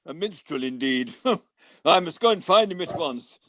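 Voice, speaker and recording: A man's voice: fairly high pitch, expressive, unhurried, fairly bright tone. A man acting a grumpy, impatient, wealthy villain in an amateur pantomime, with a haughty, exasperated theatrical delivery. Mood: indignant. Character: grumpy, impatient wealthy villain parent seeking a missing son.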